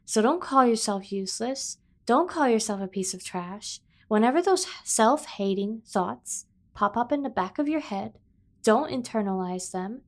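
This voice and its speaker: Female actor as doting yandere kidnapper sibling for ASMR roleplay. Slightly high voice, earnest, fiercely protective, devoted to excess. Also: lively intonation, unhurried. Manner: earnest, over-the-top devoted lecturing. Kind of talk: scripted ASMR roleplay addressed to the listener.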